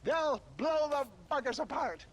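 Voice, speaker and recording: A man's voice: very high-pitched, sing-song, a little slow, a little hiss or hum, exaggerated voice. A man playing an elderly, wheedling snake-oil salesman in a video game, flustered, florid and theatrical. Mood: sly, wheedling. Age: older. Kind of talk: video game cutscene acting.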